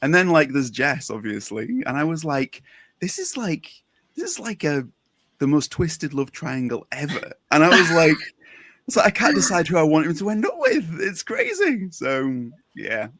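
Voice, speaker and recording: A man's voice: fairly high pitch, huge pitch swings, a little slow, fairly bright tone, no echo. A man hosting a casual interview, chatty and informal, with a gushing, enthusiastic way of talking. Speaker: podcast interviewer.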